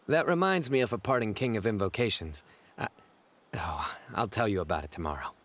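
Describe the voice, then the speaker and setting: A man playing a stern, solemn young warrior in a video game, speaking in a deadpan, measured, grandiose way. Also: fairly low pitch. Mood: earnest, amiable.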